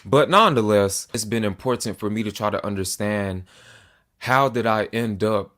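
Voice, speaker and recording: Earnest man in his twenties, slightly low. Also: a bit bright. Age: 20s. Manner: earnest, deliberate, with small restarts. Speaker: political commentator. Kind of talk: earnest to-camera monologue.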